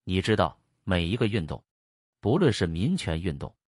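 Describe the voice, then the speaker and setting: A man's voice: deep voice, very animated, moderate pace, dry recording, studio quality. A man dubbing a serious, authoritative speaker in a documentary. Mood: measured. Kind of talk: dubbed documentary speech.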